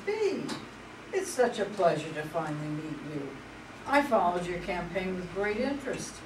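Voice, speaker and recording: A woman playing a tough but soft-hearted older politician in an amateur play reading, dry and wry. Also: on the low side, sing-song, moderate pace. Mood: gracious. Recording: distant, echoey room, faint noise.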